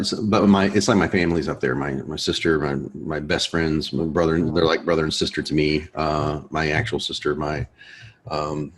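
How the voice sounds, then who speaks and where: A man's voice: a bass voice, breathy edge. A man hosting a course, joking in laid-back casual chat on a video call before the lesson. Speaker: course host.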